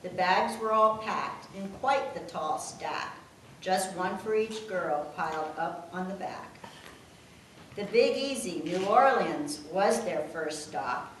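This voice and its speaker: A woman's voice: on the low side, animated, takes it slowly, a bit bright. An older woman reading a homemade rhyming poem aloud to a family gathering. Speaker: poem reader. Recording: echoey room, distant mic, faint noise.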